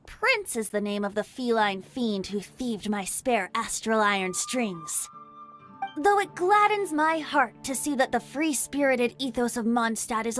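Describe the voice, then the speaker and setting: A woman's voice: a little high, fairly bright tone. A woman voicing a cheerful, playful young male bard with a flowery, theatrical way of speaking. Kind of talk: video game voice acting.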